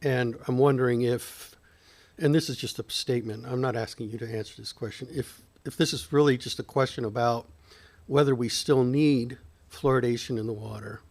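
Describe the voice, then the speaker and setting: A man from Ethiopia, a council member, stating his opinion at a public meeting in a deliberate, emphatic way. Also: mid-range voice, steady tone, a little mellow.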